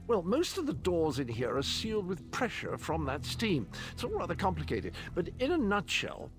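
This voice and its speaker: An actor playing an eccentric, cheerful old British gentleman knight in a video game, with jaunty, pompous phrasing. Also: high voice. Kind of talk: video game character acting. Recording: music behind the voice.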